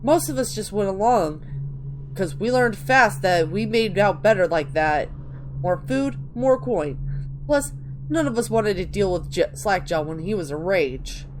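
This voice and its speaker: Woman as a rough, chatty street gangster, noisy recording. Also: mid-range voice, lively intonation, unhurried, close to an everyday voice. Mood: gruff reminiscing. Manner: colloquial street storyteller. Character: rough, chatty street gang member reminiscing about old days. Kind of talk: dramatic reading of video game lore.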